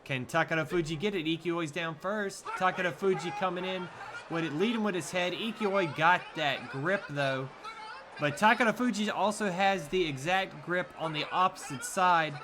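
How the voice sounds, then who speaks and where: A man's voice: sits high, normal speed, noisy background. A man with a Southern US accent giving laid-back, rambling sumo commentary, laughing easily. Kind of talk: casual live commentary.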